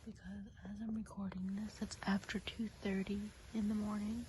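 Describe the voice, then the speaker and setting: A woman speaking in a soft, breathy whisper, chatting casually as an ASMR host. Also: mid-range voice, little pitch movement, normal speed. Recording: faint background noise.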